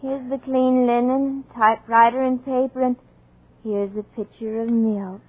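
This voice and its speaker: A woman's voice: fairly high pitch, fairly even intonation, a little slow, a little mellow, poor audio. An actress playing a deluded housekeeper who waits by the sea for her lost husband, in 1940s radio drama style. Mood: wistful. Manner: dreamy, haunted, pleading. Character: deluded grieving housekeeper woman waiting by the sea.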